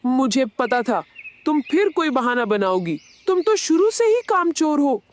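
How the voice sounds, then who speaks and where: A woman's voice: high voice, sing-song, a little slow. A woman voicing a strict, no-nonsense mother bird in a children's cartoon, instructing and scolding. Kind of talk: Hindi children's cartoon dub.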